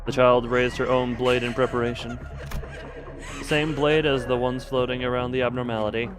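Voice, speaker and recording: A man reading game story text as a solemn, remorseful manager recounting events in a deliberate way. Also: medium pitch, fairly even intonation, music in the background, close to an everyday voice. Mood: dramatic.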